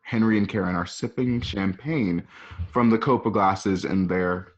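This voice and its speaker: A man's voice: lowish voice, a little slow, muffled recording. A man narrating scene directions informally, with casual conversational asides. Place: at home.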